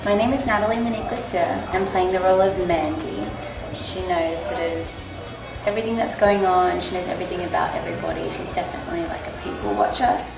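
A woman with a slightly low voice speaks as an actress in a promo interview. She sounds a little distant and roomy, with music behind her. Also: fast talker. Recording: echoey room, distant mic, music behind.

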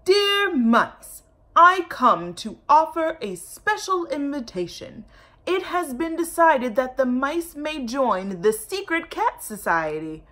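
A woman voicing a sly, honey-tongued cat from a children's storybook, with a grand, coaxing, drawn-out delivery. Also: sits high, very animated, a bit bright, pitched above the performer's own voice, full character voice. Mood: coaxing, inviting. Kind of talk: children's storybook read-aloud.

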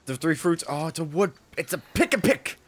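A man's voice: on the high side, sing-song, moderate pace. A young man co-streaming a video game with casual, profane, wisecracking banter. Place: indoor streaming setup. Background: game music.

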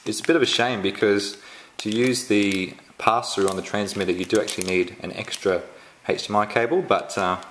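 An Australian man giving a casual unboxing walkthrough at home, halting and full of ums and restarts. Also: lowish voice, fairly even intonation, fairly bright tone, a little hiss or hum, poor audio. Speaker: tech reviewer. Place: indoors at home, filming the box up close.

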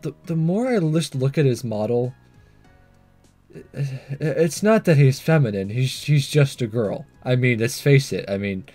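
A man hosting a let's play with casual, joking commentary and drawn-out asides. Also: mid-range voice, expressive, darkish tone, soft background music.

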